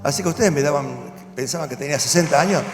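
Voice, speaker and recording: Older pastor in echoing hall. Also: male voice, fairly high pitch, fast talker. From Argentina. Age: 70s. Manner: earnest storytelling, rising to firm conviction. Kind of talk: personal testimony at a church event. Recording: long hall reverb with music behind. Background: music.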